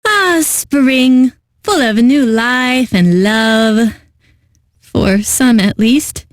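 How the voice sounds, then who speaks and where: A woman's voice: on the high side, extremely slow, no room sound, studio quality. An actress playing an eccentric, lovelorn female classical radio host in a video game, announcing with genteel poise and wistful, theatrical delivery. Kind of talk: scripted radio DJ announcing with comic drama.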